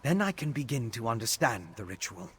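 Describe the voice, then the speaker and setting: An actor playing an earnest, inquisitive scholar in a fantasy video game, speaking in a measured, articulate, analytical way. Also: male voice, mid-range voice, very animated, fairly bright tone, husky, heavily acted. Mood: intrigued, analytical. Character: earnest, inquisitive male scholar investigating dark rituals.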